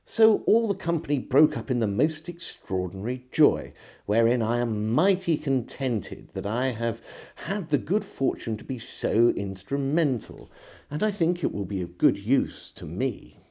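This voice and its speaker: A middle-aged man with an English accent reads an old diary aloud as expressive literary narration, in a measured, old-fashioned storytelling way. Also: medium pitch, husky. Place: small indoor room lined with shelves.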